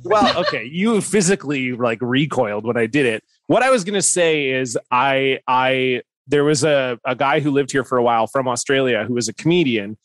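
A man's voice: medium pitch, lively intonation, moderate pace, few pauses, a bit bright, no echo. A man in his thirties hosting a podcast, rambling and self-deprecating, swearing casually. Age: 30s. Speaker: podcast host.

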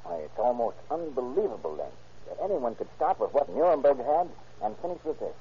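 An actor playing a calm, thoughtful male police detective in a vintage radio drama. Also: medium pitch, a little fast, lo-fi sound, slight background noise, lightly acted. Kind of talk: 1940s radio acting.

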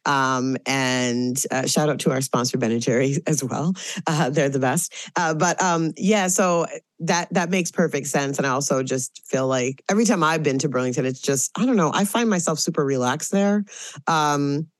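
Low-voiced woman podcast host. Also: normal speed, dry recording. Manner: thoughtful, warm interviewer with frequent "um"s. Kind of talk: casual interview.

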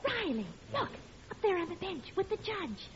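An actress playing a sensible, worried, scolding wife with an American accent in a 1940s radio comedy. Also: female voice, very high voice, very animated, normal speed. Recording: muffled, very noisy old radio recording.

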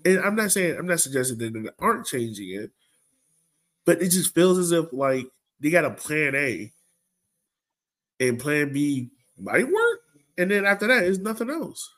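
A man's voice: a little high, big pitch swings, normal speed, a bit bright. A man co-hosting a podcast with casual, emphatic sports commentary, exasperated and often checking his point with the listener. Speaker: podcast co-host.

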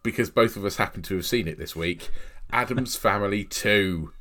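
A man's voice: lowish voice, normal speed, a bit bright. A man in his thirties with a cold, chatting casually and rambling as a podcast co-host. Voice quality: chatty, laughs often, rambling. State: has a cold, snuffly. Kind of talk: casual film podcast chat.

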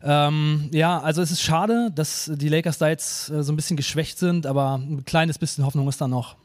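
A man's voice: a little high, fairly even intonation, normal speed. A man talking sports casually and in slangy, colloquial words as a guest on a live podcast. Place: live stage show.

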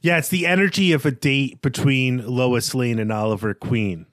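Guy cohosting a book podcast, medium pitch, explains stuff analytically, super dry sound. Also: normal speed, a bit bright, dry recording. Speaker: podcast co-host. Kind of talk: literary discussion podcast.

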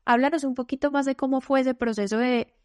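A woman's voice: sits high, fairly even intonation, a little fast, no echo, clean studio sound. A woman hosting a podcast interview who asks reflective, empathetic questions. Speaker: podcast host.

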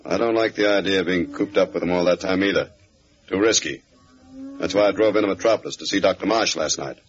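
A man's voice: low-pitched, quick pace, close to an everyday voice. Male, assured ruthless gang boss played by an actor, 1940s radio drama, dull vintage recording. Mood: confident, dismissive. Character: burly male crime boss, confident and ruthless. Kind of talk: 1940s radio serial acting.

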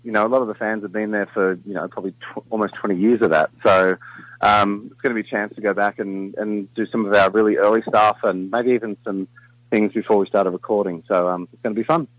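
A middle-aged man with a low baritone voice, chatting casually as a guest in an interview and rambling with many fillers and restarts. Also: fairly even intonation, fast talker, no echo, low-quality recording. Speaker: singer in a vocal group, guest.

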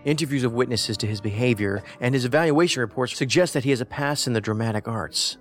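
An actor playing a cerebral, pedantic investigator in an audio drama, speaking in a measured, analytical way. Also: male voice, mid-range voice, lightly acted. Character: cerebral, musing male investigator. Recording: faint music behind the voice.

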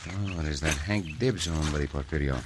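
An actor playing a gallant, resolute Mexican cowboy hero in an old radio western, with a Mexican accent and formal phrasing. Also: male voice, a bass voice, a little slow. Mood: watchful. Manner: formal phrasing without contractions, sprinkled Spanish words. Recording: noisy old radio recording.

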